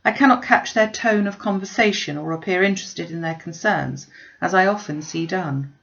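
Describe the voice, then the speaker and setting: A reserved young gentleman from a storybook, read by a woman speaks in measured, formal phrases. He is proud but courteous, understated in delivery, with a lowish creaky tone. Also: dull audio. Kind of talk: storybook reading.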